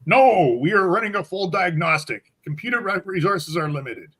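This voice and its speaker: A man playing a forceful commanding starship officer in an amateur comedic radio play. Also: sits high, expressive, moderate pace. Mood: brusque. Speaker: podcaster acting in script reenactment.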